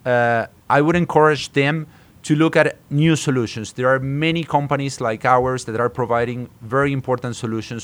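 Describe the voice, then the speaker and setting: Halting man from Argentina in an interview. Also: medium pitch. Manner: halting, hesitant phrasing with repeated words. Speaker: startup co-founder being interviewed. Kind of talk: interview answers.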